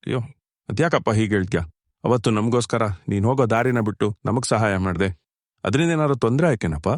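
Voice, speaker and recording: A man's voice: fairly low pitch, quick pace, dry recording, clean studio sound, close to an everyday voice. A man playing a kindly, grieving older husband, an electrician, speaking in an earnest, fatherly way in a cartoon story. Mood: kindly, encouraging. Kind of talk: dramatic dialogue in a cartoon short story.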